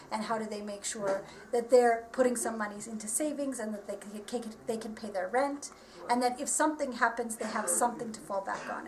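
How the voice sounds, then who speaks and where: Woman explaining, echoey and distant. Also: a little high. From United States. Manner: earnest, steady explaining with frequent ums and restarts. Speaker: marketing advisor. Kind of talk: explanatory interview answers. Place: workshop premises. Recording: echoey room, voice from far off, faint background noise.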